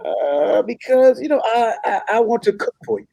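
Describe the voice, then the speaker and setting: A man in a comedy table read playing an apologetic, flustered suitor who stammers and fibs, very polite and halting. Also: very high voice, wildly swooping pitch, vocal fry, dark tone, no echo, full character voice. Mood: flustered, evasive. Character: apologetic, flustered man, nervously fibbing to impress his date.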